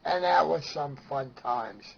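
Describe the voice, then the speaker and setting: A middle-aged man with a Brooklyn accent and a raspy, gravelly voice, telling stories casually and excitably, acting out remembered dialogue. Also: fairly high pitch, slow. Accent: Brooklyn New York. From Brooklyn, New York. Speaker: interview guest. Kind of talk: animated casual storytelling. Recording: dull lo-fi sound.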